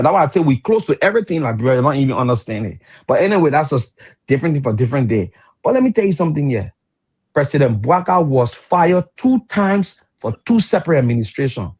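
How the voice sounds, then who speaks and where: A Liberian man in his fifties with a husky, breathy voice argues politics on a radio panel. He talks animatedly, stumbling over words and laughing mid-sentence, in a Liberian English accent. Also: on the high side, a little mellow. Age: 50s. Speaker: radio talk show panelist. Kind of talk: heated political debate.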